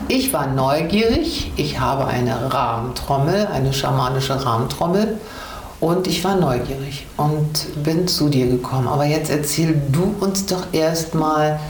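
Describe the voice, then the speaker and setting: A woman's voice: deep voice, animated, measured pace, a little hiss or hum. A middle-aged or older woman hosting a radio show in a casual, conversational interview style. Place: inside a half-timbered house, drum studio.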